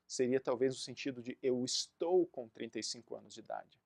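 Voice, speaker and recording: A man in his thirties, a native Portuguese speaker, teaching an English lesson and drilling phrases slowly for learners to repeat. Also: medium pitch, gravelly, darkish tone, no echo. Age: 30s. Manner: slow, deliberate drilling of phrases for repetition. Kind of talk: recorded online language lesson.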